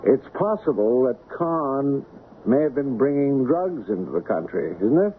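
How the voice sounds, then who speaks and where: An actor playing a guarded, genteel shipping boss in an old radio mystery, formal, deliberate and halting. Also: male voice, medium pitch, sing-song. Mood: smooth, insinuating. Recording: narrow, noisy old radio broadcast.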